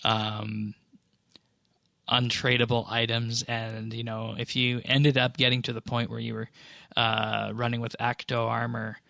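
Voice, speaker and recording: A man's voice: medium pitch, level tone, unhurried, vocal fry, sharp tone, no echo, studio quality. A man hosting a casual podcast discussion, talking things through as he thinks aloud. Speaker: podcast host.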